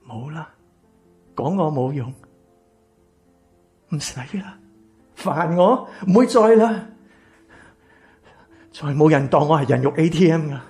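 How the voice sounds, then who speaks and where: A man acting a bitter, exploited grown son in a radio drama, with a Hong Kong Cantonese accent and an emotional, breathy delivery. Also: fairly high pitch, animated, slow pace, pauses often, full character voice. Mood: cold, hushed. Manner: emotional, stammering, swinging from shouts to whispers. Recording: music behind the voice.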